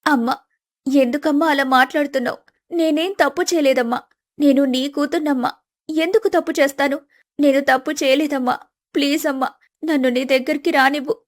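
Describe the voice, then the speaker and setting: A gentle, wronged young daughter in a moral family story, played by a woman. Her voice is high and earnest, with a pleading, soft-hearted manner. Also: fairly even intonation, brisk, close to an everyday voice. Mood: pleading, sorrowful.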